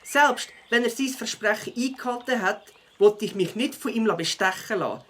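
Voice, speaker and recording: Plucky beaver kid for kids audio story, swiss german, high n husky, determined. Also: female voice, animated, normal speed, a bit bright. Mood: defiant. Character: Bike Biber, plucky determined child beaver hero. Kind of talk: children's audio drama acting.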